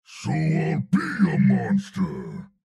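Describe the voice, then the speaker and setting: Raspy, menacing monster. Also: male voice, wildly swooping pitch, very slow, warm tone, raised voice, heavily acted. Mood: menacing, bitter. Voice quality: deep, raspy. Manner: menacing, theatrical. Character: menacing, bitter male monster villain. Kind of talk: scripted video game villain lines.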